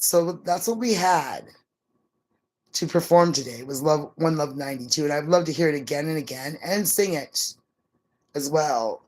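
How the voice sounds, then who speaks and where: A middle-aged woman chatting casually as a livestream co-host, in an easygoing, slightly halting, musing way. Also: sits low, a little mellow, low-quality recording. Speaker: live stream co-host.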